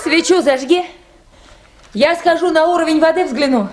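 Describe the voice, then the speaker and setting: A woman's voice: extremely high pitch, normal speed. An actress playing a resolute, commanding woman radio operator with a firm, urgent delivery in a Soviet radio play. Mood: brisk, relieved. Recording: very noisy 1980s radio drama recording.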